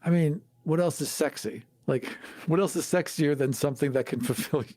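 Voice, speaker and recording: A man's voice: a little high, moderate pace, dark tone, dry recording. Candid man chatting casually, close dry sound. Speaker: podcast host. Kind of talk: casual chat.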